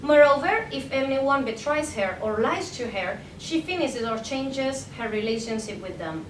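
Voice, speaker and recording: Young woman w reading out a conference paper, pretty high voice, mic kinda far off in an echoey room. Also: animated, normal speed. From Spain. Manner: reading from a prepared text with occasional stumbles. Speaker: academic presenter, PhD candidate. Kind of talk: conference paper read aloud. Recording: echoey room, distant mic, faint rumbling noise.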